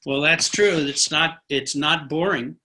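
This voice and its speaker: A man interviewing a guest in a conversational way, with a somewhat halting delivery. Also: on the high side, sharp tone.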